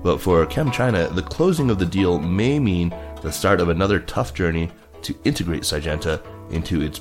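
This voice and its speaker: A man with a low voice hosts a business news podcast, reading from a script. Plucked guitar music plays clearly behind him. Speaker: podcast host.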